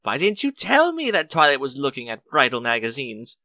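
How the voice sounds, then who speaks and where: A man's voice: medium pitch, wildly swooping pitch, normal speed, pushed and bright, no room sound, studio quality, voice pushed up, heavily acted. A man voicing a refined, polite lady with a fussy, genteel manner for a story reading. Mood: excited, knowing.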